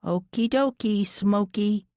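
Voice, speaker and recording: Audiobook part: cheeky, talkative little boy acted by a woman, high-pitched, recorded dry. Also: takes it slowly, warm tone, no room sound, lightly acted. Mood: cheeky, playful. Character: chatty, cheeky small boy who loves trains. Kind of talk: audiobook character voice.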